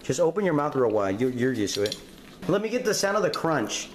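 A man's voice: a little high, sing-song, brisk, echoey room, noisy background. A young man filming himself cooking in a home kitchen, explaining casually and swearing with cocky confidence. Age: young adult. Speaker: home cook vlogger. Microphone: handheld camera nearby.

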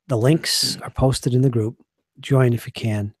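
A hoarse man in his forties, a game master losing his voice, chatting casually and earnestly on a live stream from a small home study into a desk microphone. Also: medium pitch, fairly even intonation, unhurried, no echo. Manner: rambling, earnest, trailing off quietly. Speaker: game master and channel host.

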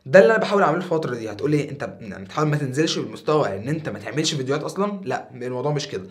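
A young man in his twenties with an Egyptian Arabic accent, talking casually to camera as a YouTuber in a small foam-paneled room, animated and a bit tired. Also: medium pitch, racing. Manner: animated, gestures a lot with his hands.

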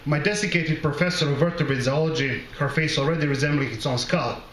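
A middle-aged man from the former Yugoslavia reading his literary short story aloud at a microphone, in a measured, deliberate and wry way. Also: fairly high pitch, crowd noise. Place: small bar with brick wall, indoors. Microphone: close microphone on a stand. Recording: roomy live recording, background noise.

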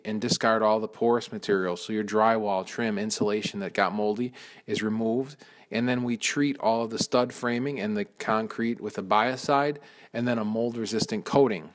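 Contractor, man, low voice, casual explainer who pauses and restarts often. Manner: explanatory, frequent fillers and restarts. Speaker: mold removal contractor. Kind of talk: informal walkthrough narration.

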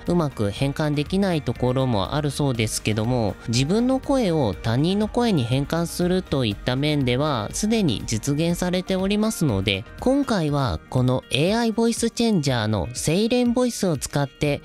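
Male host, early 30s, youthful feminine-sounding voice, polite explainer delivery, animated intonation. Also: fairly high pitch. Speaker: video host. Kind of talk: scripted explainer talk to viewers. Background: background music.

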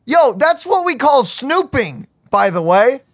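A woman's voice: high voice, big pitch swings, measured pace, no room sound, studio quality. A young woman hosting a let's play, giving casual, joking reactions to a game. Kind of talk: casual gameplay reaction commentary. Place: small indoor room, streaming setup. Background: game music.

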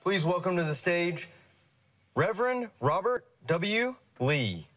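Man, event announcer, formal introductions, lively high voice, slightly noisy PA. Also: takes it slowly. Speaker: stage announcer.

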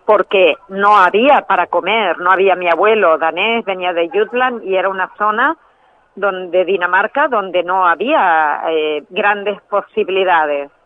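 A woman guest calling in by telephone, explaining ideas in a measured way and sometimes correcting herself mid-phrase. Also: on the low side, dry recording. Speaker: phone-in guest, trainer in biological decoding. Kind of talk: explanatory interview answers. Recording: tinny phone line.

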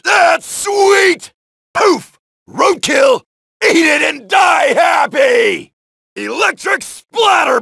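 An actor playing a brash, wisecracking male soldier who shouts taunts and one-liners while killing zombies in a video game, with a gravelly voice. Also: extremely high pitch, dry recording, full character voice. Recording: dry studio voice lines.

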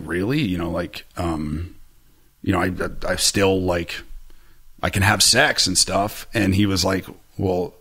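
A man in his forties speaks as a podcast guest in a very low, gravelly, husky voice, close on a studio mic. He rambles candidly, piling up fillers and restarts and poking fun at himself. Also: fairly bright tone. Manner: rambling, self-deprecating, many fillers and restarts. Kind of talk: candid conversational interview. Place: small room with bright orange shelves. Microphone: boom-arm studio mic close to mouth.

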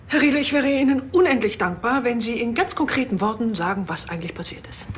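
A woman's voice: on the high side, fast, slight background noise, lightly acted. A woman acting a sarcastic, exasperated police superior in a TV crime comedy, with a mocking manner.

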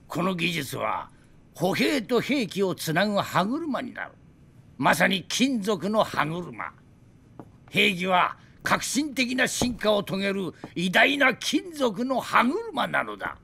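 An embittered, drunken old weapons designer in a video game drama. His high-pitched voice has a creaky edge and swings widely as he boasts and rants with theatrical indignation. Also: sharp tone, full character voice. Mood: grandiose. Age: older. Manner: theatrical, grandiose, indignant ranting.

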